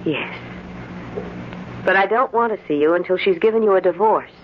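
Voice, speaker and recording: An actress playing a principled, gently firm young former sweetheart in a 1940s-style radio drama. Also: female voice, mid-range voice, normal speed, lightly acted. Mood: earnest, hesitant. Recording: muffled, noisy old radio broadcast recording.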